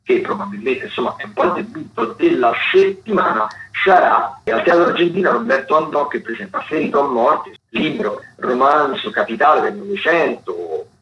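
A man hosting a radio show, talking through theatre listings in a halting, unscripted way. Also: medium pitch, lively intonation. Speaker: radio host. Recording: dull, lo-fi radio sound with clear noise.

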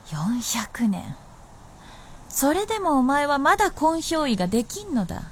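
High-voiced, teasing fox princess. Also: female voice, very animated, unhurried. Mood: teasing, eager. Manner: teasing, old-fashioned haughty speech. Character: playful, confident shapeshifting female fox princess, centuries old. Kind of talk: Japanese radio drama acting.

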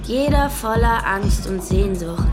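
A woman's voice: medium pitch, expressive, slow, over loud music. An actress playing a restless, lonely young woman in an audio drama, enigmatic and intense. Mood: melancholy.

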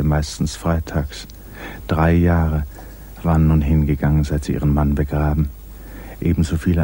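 A man narrating a literary radio drama in a measured, composed storytelling manner. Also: extremely low pitch, dark tone, husky. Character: calm omniscient male narrator of a literary tale.

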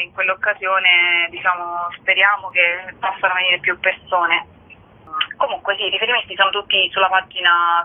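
A woman speaking as an event organiser in an informal, explanatory radio phone interview. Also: fairly low pitch. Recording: narrow tinny phone line.

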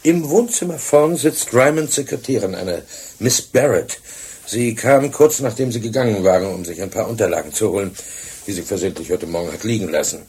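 An actor playing a diligent, deferential police assistant with dry humour in a German radio crime drama. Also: male voice, lowish voice, animated, a little fast, darkish tone, husky, full character voice. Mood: dutiful, eager.

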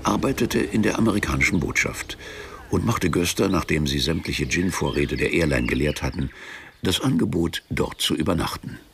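A man narrating a scripted literary radio drama as a wry storyteller, measured and deadpan. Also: low-pitched, creaky, a little hiss or hum. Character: dry, wry storyteller of a travel tale.

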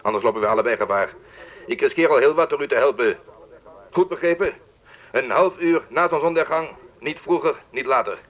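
An actor playing a stern, secretive male informant over the telephone in a radio drama, curt, controlling and deliberate. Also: medium pitch. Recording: dull, band-limited telephone-like sound.

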